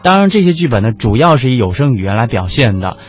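A man co-hosting a radio programme in conversational mainland standard Mandarin, measured, with small pauses. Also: medium pitch, very animated, husky. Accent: mainland Chinese standard Mandarin. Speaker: radio host. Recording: slightly dull audio with music underneath. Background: background music.

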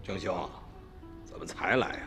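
A man's voice: low-pitched, moderate pace, music in the background, close to an everyday voice. An actor playing a sly, confident male tomb-raider gang boss haggling over a stolen relic in a radio drama. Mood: scheming.

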